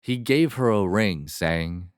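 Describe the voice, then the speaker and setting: A man narrating a fairy tale as a storyteller, in a measured, expressive manner. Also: fairly low pitch, clear, resonant tone, dry recording, clean studio sound.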